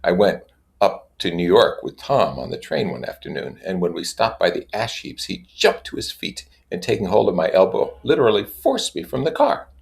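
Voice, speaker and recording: A man reading literature aloud in a measured way, with casual explanatory asides. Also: low-pitched, very animated, creaky. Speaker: reader and commentator.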